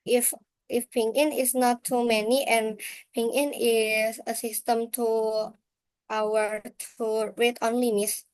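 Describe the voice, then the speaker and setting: A young woman student, answering her teacher slowly and hesitantly in simple learner English on a video call. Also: on the high side, flat delivery, darkish tone, clean tone, no echo, low-quality recording. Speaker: student presenter. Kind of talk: answering questions in class.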